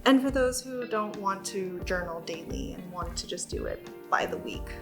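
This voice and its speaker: A woman from Taiwan co-hosting a casual stationery unboxing, calmly walking through planner layouts with fillers. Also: mid-range voice, over music. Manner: explanatory, measured walkthrough with fillers. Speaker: stationery shop co-host. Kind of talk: casual product unboxing chat.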